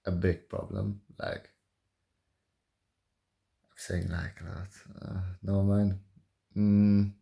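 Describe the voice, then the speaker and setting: Very slow, creaky young man's voice. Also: deep voice. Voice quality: soft voice. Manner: calm, halting, hesitant with long pauses. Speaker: vlogger. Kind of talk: confessional solo vlog, thinking aloud.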